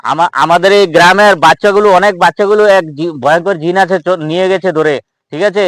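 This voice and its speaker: A man's voice: high voice, steady tone, quick pace, fairly bright tone, no room sound. A man playing an earnest, reassuring villager in an improvised comedy skit, repeating himself and constantly checking for agreement. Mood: respectful, pleading. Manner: repetitive, constantly seeking agreement. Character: earnest villager guide organizing a jinn hunt, reassuring.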